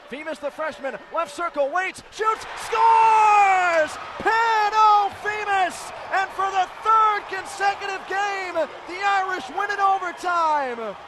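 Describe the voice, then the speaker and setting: Male hockey play-by-play, very high voice, excitable delivery, live radio broadcast, clear background noise. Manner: excitable, builds to shouted calls. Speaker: ice hockey play-by-play announcer. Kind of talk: live radio sports commentary. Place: broadcast position at hockey games.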